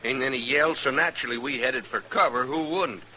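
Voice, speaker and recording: Defiant American outlaw, very sharp, forceful voice, taunting, rustic, old radio western. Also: male voice, medium pitch, fairly quick, rough recording. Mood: bitter, accusing. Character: bitter, defiant captured outlaw gang leader. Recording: vintage radio recording with faint noise.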